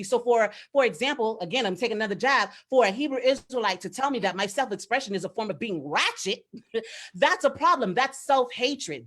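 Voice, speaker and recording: A woman's voice: fairly high pitch, a bit bright. A woman on a discussion panel, speaking in an earnest, emphatic and reflective way. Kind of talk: panel discussion, impassioned commentary.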